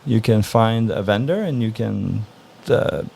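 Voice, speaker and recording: Interview guest, male, from Norway, gravelly low voice, easygoing and thoughtful. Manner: relaxed, thoughtful, frequent "uh" pauses. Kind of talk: casual interview answers.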